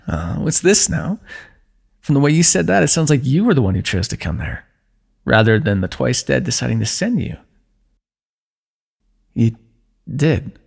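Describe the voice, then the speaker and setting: A young man with a gravelly, husky voice speaks softly and intimately. His pitch moves a lot as he talks. His delivery is tender and earnest, with sighs and small laughs. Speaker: ASMR roleplay narrator. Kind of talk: fantasy romance ASMR roleplay.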